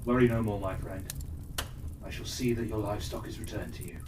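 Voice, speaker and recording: A man voicing a gentle, reassuring, good-hearted wanderer in a storybook tale. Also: fairly low pitch, fairly even intonation, fairly quick, pitched below the performer's own voice, lightly acted. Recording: distant mic with faint background noise.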